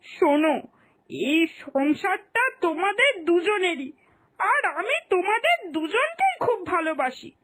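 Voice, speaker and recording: A woman's voice: very high-pitched, sing-song, measured pace, a bit bright, full character voice. A woman voicing a loving mother-in-law in a children's cartoon, earnestly admonishing her family. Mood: chiding, pleading. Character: chiding but loving mother-in-law of the household. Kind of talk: Bengali moral-story cartoon dubbing.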